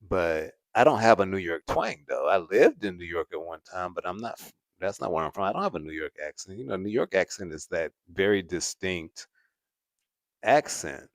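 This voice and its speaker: A man's voice: deep voice, no echo. A man hosting a casual livestream, chatting to viewers and laughing often. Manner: laughs often, repeats "at the end of the day". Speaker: host.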